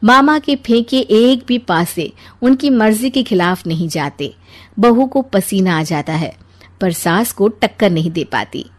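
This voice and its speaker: A woman's voice: medium pitch, lively intonation, unhurried. A woman storyteller reading scripted narration for a family tale.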